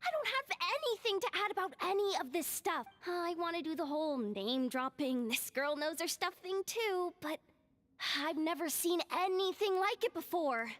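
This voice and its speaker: Game sidekick: small eager female, squeaky-high, candid and exclamatory, dry studio sound. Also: lively intonation, normal speed, a bit bright, no echo. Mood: flustered. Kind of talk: video game voice acting.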